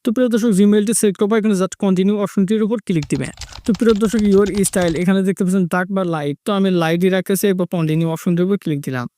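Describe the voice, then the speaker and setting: A man's voice: high-pitched, steady tone, fast, mellow. A man narrating a step-by-step tech tutorial, repeating his instructions as he goes. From Bangladesh. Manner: repetitive instructional phrasing.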